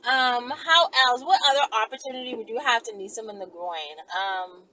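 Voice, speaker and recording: A woman vlogger with a somewhat high voice speaks off the cuff in a vehicle. She thinks aloud in a casual, conversational way, leaning on words for emphasis. Light noise sits behind her voice. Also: a little fast, pushed and bright, low-quality recording. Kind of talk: casual rambling solo talk. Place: inside a vehicle in traffic.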